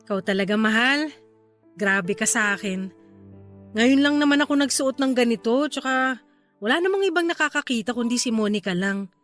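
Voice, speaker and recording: A woman's voice: on the high side, animated, moderate pace, long pauses, a bit bright, soft background music. A woman acting a sweet, evasive young Filipino girlfriend in a radio drama, coaxing and apologetic.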